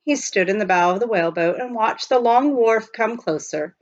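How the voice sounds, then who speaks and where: A woman reading a children's novel aloud as scripted narration, with expressive storytelling. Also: fairly high pitch, normal speed, dry recording, muffled recording. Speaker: audiobook reader.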